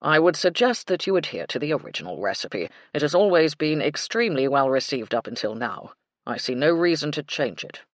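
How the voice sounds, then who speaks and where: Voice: mid-range voice, big pitch swings, a little fast, no room sound, clean studio sound, natural voice. A narrator voicing a stiff, formal male hotel manager who speaks in a brittle, proper way.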